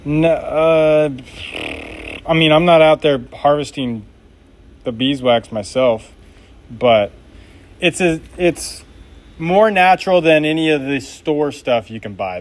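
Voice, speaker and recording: A man's voice: a little high, very animated, measured pace, long pauses. A man making knives during a live stream, chatting casually and reading viewer comments aloud in an upbeat, laid-back way.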